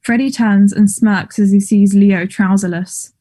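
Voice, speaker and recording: A woman reading stage directions as scripted narration in a measured, neutral manner. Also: medium pitch, steady tone, mellow.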